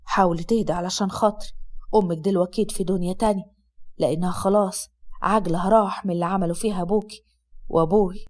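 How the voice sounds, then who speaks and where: A firm, tender young husband, pitched low and quiet, as a woman narrator puts him on. He keeps his words soft but commanding, and the delivery moves quickly. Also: steady tone, lightly acted. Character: stern, tender young Upper Egyptian husband.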